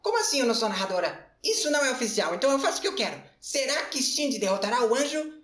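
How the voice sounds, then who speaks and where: Man doing a cheeky high-voiced female preview narrator. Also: big pitch swings, racing, a bit bright, roomy sound, exaggerated voice. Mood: cheeky suspense.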